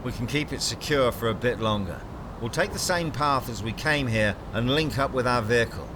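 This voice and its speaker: A terse, authoritative male squad leader , played by a man barks orders in an audio drama. His voice sits at a medium pitch, set against clear battlefield and vehicle noise. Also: lively intonation, moderate pace, natural voice. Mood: commanding, tense. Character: commanding male squad leader of a clandestine task force.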